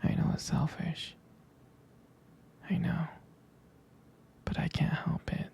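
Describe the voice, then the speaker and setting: A man playing a tender, protective boyfriend in an ASMR roleplay, murmuring hesitantly and intimately in a breathy, husky whisper. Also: fairly high pitch, monotone, slow pace, dark tone, exaggerated voice. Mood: tender jealousy. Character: jealous, protective, tender boyfriend consoling his partner.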